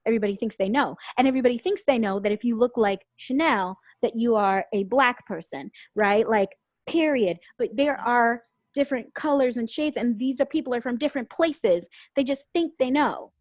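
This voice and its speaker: A woman from Puerto Rico, a guest on a podcast and an actor, telling personal stories candidly, animated and emotional, acting out the voices she quotes. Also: a little high, moderate pace, hardly any pauses, no echo, lo-fi sound. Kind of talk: candid conversational storytelling.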